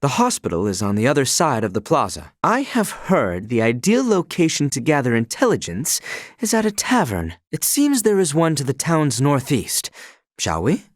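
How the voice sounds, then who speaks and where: A man's voice: medium pitch, huge pitch swings, normal speed, no room sound, clean studio sound. A man voicing a dutiful young knight escort in a video game, speaking formally in courtly, old-fashioned phrasing. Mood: earnest. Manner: formal, courtly and archaic speech. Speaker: voice actor. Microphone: close studio mic.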